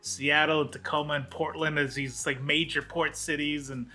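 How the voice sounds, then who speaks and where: Higher-pitched, bright man hosting. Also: flat delivery, normal speed. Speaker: podcast host. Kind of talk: casual chat.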